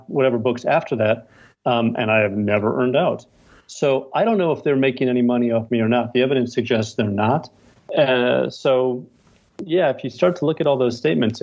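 A man's voice: mid-range voice, a little mellow, muffled recording. A middle-aged male novelist chatting casually as an interview guest, telling stories in a wry, self-deprecating way. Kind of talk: casual interview chat with anecdotes.

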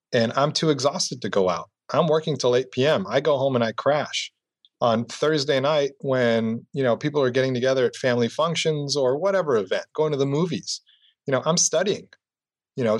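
A man being interviewed about his business career, talking reflectively and filling pauses with "you know.". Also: medium pitch, no echo. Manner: reflective, repeats "you know" often, restarts phrases. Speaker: entrepreneur guest. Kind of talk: casual interview answers.

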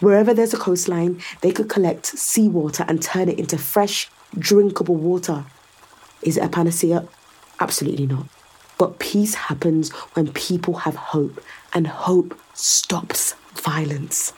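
A woman's voice: fairly low pitch, unhurried, dark tone, husky, poor audio. A woman playing a powerful, calculating executive in a science fiction audio drama, sardonic and controlled as she persuades and explains. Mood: insistent, persuasive.

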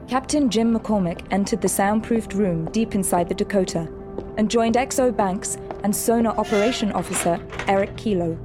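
Measured female narrator, medium pitch. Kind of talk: scripted audio drama narration.

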